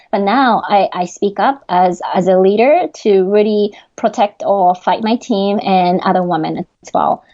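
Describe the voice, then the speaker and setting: Guest, young woman, Japanese accent, mellow voice, many fillers, lo-fi sound. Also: medium pitch. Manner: earnest, laughs often, frequent fillers. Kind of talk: podcast interview.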